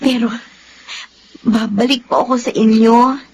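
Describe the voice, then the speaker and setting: A woman's voice: a little high, steady tone, a little slow, heavy background noise. An actress playing a humble, grateful young Filipino mother in a radio drama, shy and respectful. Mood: grateful, earnest. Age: young adult.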